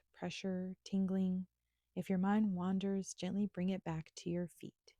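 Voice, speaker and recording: A woman instructor leading a guided meditation in a gentle, soothing, unhurried manner with pauses. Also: medium pitch, no room sound, studio quality.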